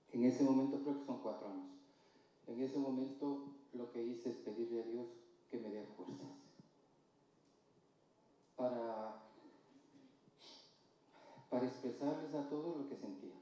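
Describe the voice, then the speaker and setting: A man from Ecuador with an Ecuadorian Spanish accent, giving a slow, halting, earnest personal testimony in church. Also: mid-range voice, dark tone. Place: church hall. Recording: distant mic in echoey church hall.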